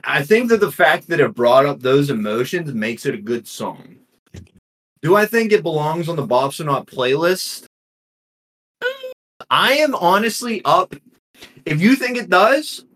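A man co-hosting a casual music podcast, easygoing and thinking out loud. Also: fairly high pitch. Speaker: podcast co-host. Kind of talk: casual chat.

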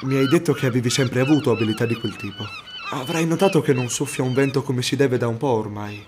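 An easygoing fantasy shipmate , played by a man, friendly and coaxing. He has a mid-pitched voice, with faint ambient noise behind it. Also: fast, close to an everyday voice. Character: friendly, encouraging shipmate on a sailing ship. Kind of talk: fantasy audio drama acting.

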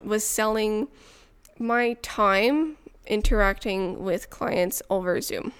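Smooth-voiced woman hosting. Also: medium pitch, slow pace. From Montreal, Canada. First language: French. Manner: chatty and personal, light laughs. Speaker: podcast host. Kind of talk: solo podcast monologue.

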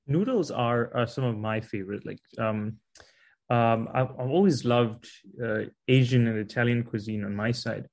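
A man hosts a friendly conversation. His voice sits at a middle pitch. He talks in loose, restarting sentences as he asks his guests questions. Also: normal speed, darkish tone. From South Africa. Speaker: podcast host. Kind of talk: conversational interview.

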